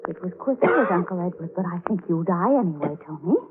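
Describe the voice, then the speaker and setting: An actress playing a sweetly menacing, unhinged murderous wife in a melodramatic 1940s radio thriller, cooing endearments with intense emotion. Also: female voice, fairly low pitch, animated, fast, natural voice. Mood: coolly menacing. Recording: old tinny radio recording, noisy.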